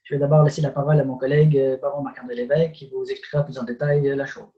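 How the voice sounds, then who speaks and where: A man speaks in a flat, even tone, ironic and halting with fillers. The sound is dull and lo-fi. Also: medium pitch. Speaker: poet presenting his book.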